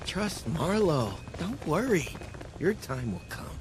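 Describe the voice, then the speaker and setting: A man's voice: fairly high pitch, sing-song, takes it slowly, noisy background. An actor playing a devout cultist brother in a video game, reassuring and assured as he talks.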